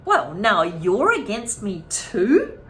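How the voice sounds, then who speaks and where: A woman voicing a greedy, bossy, enormous old grandmother who shouts and scolds in a storybook reading. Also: mid-range voice, huge pitch swings, slow pace, a little hiss or hum. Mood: indignant. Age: older. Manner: shouting, scolding, self-pitying.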